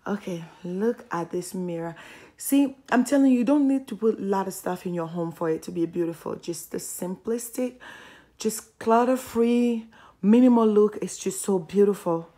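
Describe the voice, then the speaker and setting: A woman giving a casual, chatty home tour in a hushed voice, gushing with admiration as she walks through indoor rooms with a handheld camera. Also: normal speed. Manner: hushed, keeping voice low. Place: indoors, walking through a furnished model home. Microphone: handheld phone or camera held by the speaker.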